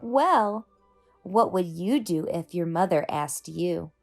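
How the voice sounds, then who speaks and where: A woman reading a rhyming children's story aloud, with expressive, measured phrasing meant for young listeners. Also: fairly low pitch, gravelly, faint music behind. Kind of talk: storybook read-aloud narration in rhyme.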